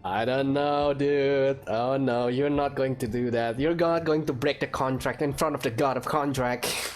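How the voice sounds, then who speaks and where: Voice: medium pitch, steady tone, unhurried. A gamer giving casual, joking commentary during a playthrough. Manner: chuckles often, joking. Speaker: gamer, let's play host. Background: faint game music.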